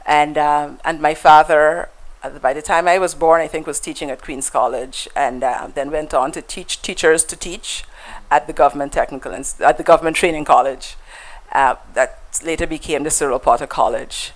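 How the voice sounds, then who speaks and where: A woman's voice: low-pitched, fairly even intonation, normal speed, low-quality recording. A woman with a Guyanese accent, a guest in a conversational interview, telling stories in a reflective way with frequent fillers and restarts.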